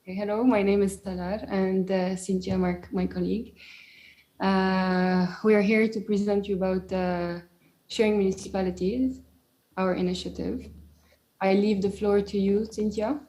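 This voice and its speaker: A woman's voice: mid-range voice, steady tone, moderate pace, mellow, low-quality recording. A woman introducing a startup presentation on an online call. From Lebanon.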